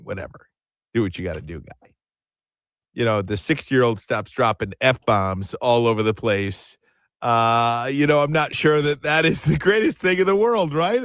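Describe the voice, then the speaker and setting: A man's voice: on the high side, dry recording, studio quality. A man hosting an afternoon radio talk show, chatty and self-deprecating. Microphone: studio microphone.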